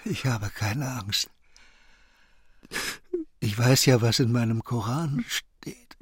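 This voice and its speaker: An older actor playing a serene, wise old shopkeeper in a radio drama, breathy, tender and philosophical. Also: male voice, medium pitch, slow pace, dry recording, studio quality. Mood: serene, weakened. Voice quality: husky, breathy.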